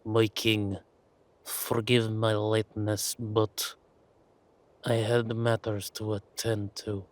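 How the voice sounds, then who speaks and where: A man's voice: lowish voice, completely flat delivery, slow pace. A man playing a flustered, obsequious duke in an audio roleplay, stammering and deferential. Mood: apologetic. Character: nervous, obsequious male duke, fawning and flustered.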